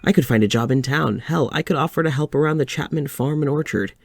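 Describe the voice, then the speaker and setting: A man playing an uneasy, weary scientist in an audio drama, confiding to a recorder in a halting way. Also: on the high side, gravelly, no echo, clean studio sound. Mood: distressed. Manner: hesitant, halting, sighing. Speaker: fiction podcast lead actor. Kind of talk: audio drama, confessional monologue.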